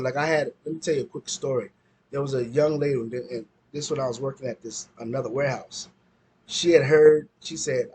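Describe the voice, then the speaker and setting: Brief: man in his forties, mid-pitched, repeats himself while telling a story, faint room noise. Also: darkish tone. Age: 40s. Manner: storytelling, repeats words often. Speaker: business owner. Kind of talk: casual talk to camera.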